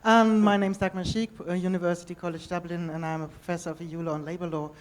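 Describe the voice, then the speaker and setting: Brisk woman asking from the audience. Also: on the low side, flat delivery, a little mellow, slight background noise. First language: not English. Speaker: law professor in the audience. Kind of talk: audience question at a seminar. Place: university seminar room.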